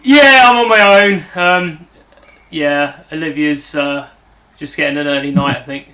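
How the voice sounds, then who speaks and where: Bright, giggly British guest on a call. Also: male voice, fairly high pitch, unhurried, poor audio. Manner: bright, giggly and fumbling with his setup. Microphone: video call.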